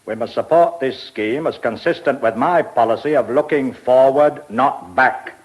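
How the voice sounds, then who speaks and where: An actor with a British accent playing an eccentric, brusque lordly press baron in a radio drama, speaking in clipped, mumbling phrases. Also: male voice, mid-range voice, normal speed, lightly acted, low-quality recording. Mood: imperious.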